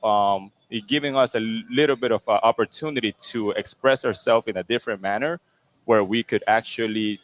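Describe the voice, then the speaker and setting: Rambling easygoing man from Venezuela. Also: medium pitch. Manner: relaxed, rambling, many fillers like "kinda like". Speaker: chef and restaurant co-owner. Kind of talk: casual interview answers. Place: restaurant.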